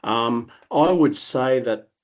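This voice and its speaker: A man's voice: medium pitch, lively intonation, slow pace. A middle-aged man with an Australian accent, a guest in a conversational interview who explains at length and corrects himself as he goes. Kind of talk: relaxed conversational interview talk.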